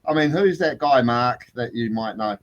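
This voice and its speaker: A man's voice: medium pitch, animated, normal speed, lo-fi sound. A man, co-hosting a casual podcast and asking questions in an upbeat way. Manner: upbeat, asks questions with fillers. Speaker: podcast co-host.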